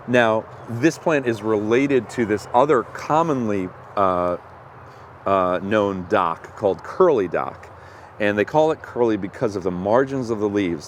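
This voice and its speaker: Enthusiastic male host with a lower voice, recorded outdoors with light noise. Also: darkish tone. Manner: enthusiastic, explaining. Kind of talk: casual outdoor foraging talk. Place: outdoors in woods.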